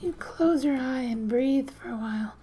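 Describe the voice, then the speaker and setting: Woman, high mellow voice, soft and breathy, near-whisper, gentle ASMR game narration, close mic, music behind. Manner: gentle, hushed, unhurried storytelling. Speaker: ASMR gamer and host. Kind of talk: soft-spoken ASMR, reading a game's story aloud with casual asides.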